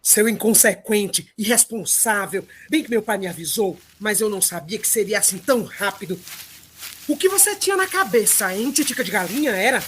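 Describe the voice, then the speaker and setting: A woman's voice: mid-range voice, lively intonation, normal speed, fairly bright tone, a little hiss or hum, close to an everyday voice. A woman playing a stern, indignant heroic father scolding his son, theatrically, in a radio drama. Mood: angry scolding.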